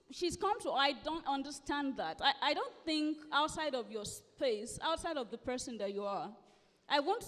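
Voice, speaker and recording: Nigerian woman author in an echoey hall. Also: high voice. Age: late thirties to forties. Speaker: guest author at a book festival. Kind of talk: live on-stage interview, conversational. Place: live festival event hall. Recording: echoey hall with long reverb.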